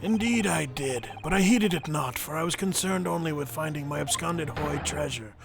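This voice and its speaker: A man's voice: a little high, normal speed, creaky, music in the background. A man voicing an eccentric, fussy old antique dealer in a game fan dub, with archaic, mannered phrasing. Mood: mysterious, wistful. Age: older. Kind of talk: video game fan voice acting.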